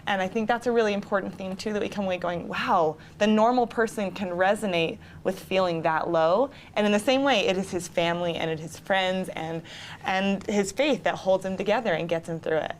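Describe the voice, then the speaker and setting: A woman's voice: medium pitch, brisk. A woman giving earnest, emphatic interview answers as a community theater actor. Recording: noticeable background noise.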